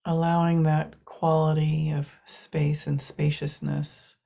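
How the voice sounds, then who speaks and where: Female meditation guide: low, mellow, hushed delivery in short, spaced-out phrases. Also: flat delivery, vocal fry. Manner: soft, hushed, long pauses between short phrases.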